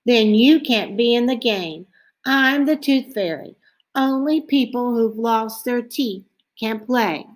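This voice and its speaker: A woman's voice: on the high side, slow. A woman voicing a bossy, taunting young schoolgirl in a storybook, with emphatic show-off delivery.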